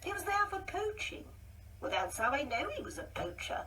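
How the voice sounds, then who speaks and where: A woman playing a genteel older married woman in a radio play, with a theatrical, halting, wistful delivery. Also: sits high, big pitch swings, bright, forceful tone, heavily acted. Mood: confiding, reminiscing. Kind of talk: radio play monologue acting. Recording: distant mic with faint background noise.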